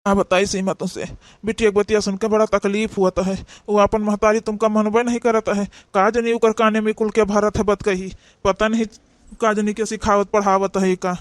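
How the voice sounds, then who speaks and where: High-pitched, fretful Awadhi father acted by a man. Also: level tone, normal speed, no echo, lightly acted. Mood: distressed. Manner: plaintive, fretful. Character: worried, bewildered father of a young girl. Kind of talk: amateur comedy cartoon dialogue.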